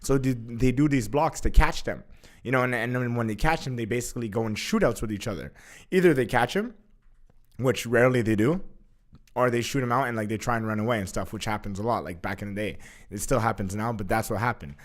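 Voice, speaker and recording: A young man telling a story in a casual chat, animated, full of "like" fillers and swearing. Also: medium pitch, fairly quick. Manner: animated, heavy use of filler "like", swears freely. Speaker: guest.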